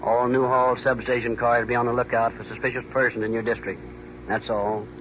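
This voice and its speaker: Man as a monotone police radio dispatcher. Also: medium pitch, brisk, natural voice. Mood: official. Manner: monotone, clipped. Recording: noisy lo-fi 1930s police radio.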